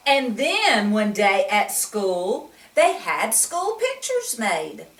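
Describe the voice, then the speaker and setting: A woman reading a picture book aloud to children in an animated way, often putting questions to the listener. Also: mid-range voice. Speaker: children's story reader. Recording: echoey room, distant mic.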